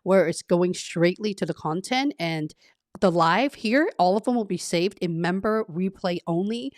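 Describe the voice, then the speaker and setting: Energetic woman. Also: lowish voice, unhurried, dry recording, studio quality. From Vietnam. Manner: energetic, effusive, frequent restarts. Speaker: career coach. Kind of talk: live-stream Q&A monologue.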